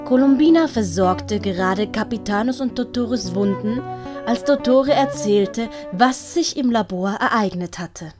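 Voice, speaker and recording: Woman narrator, scripted storytelling, somewhat high pitch, prominent music underneath, audiobook. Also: a little slow. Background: prominent plucked-string music.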